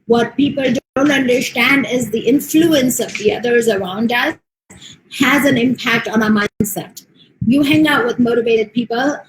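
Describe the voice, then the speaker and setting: A woman's voice: on the high side, echoey room, slight background noise, low-quality recording. An American woman, a motivational speaker, giving an earnest online presentation with frequent ums. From United States. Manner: steady, earnest delivery with frequent um fillers.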